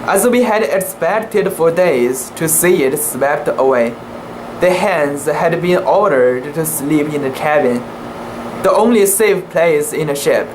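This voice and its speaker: An amateur narrator giving a deliberate, halting dramatic reading of a classic sea story in the first person, stumbling over words now and then. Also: medium pitch, expressive, background noise.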